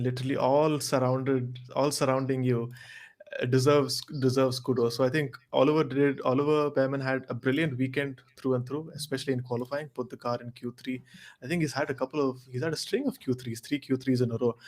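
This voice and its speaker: A man with a medium-pitched voice talks as a panelist on a sports podcast. His delivery is casual yet measured, explaining things as he goes. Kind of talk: casual motorsport analysis.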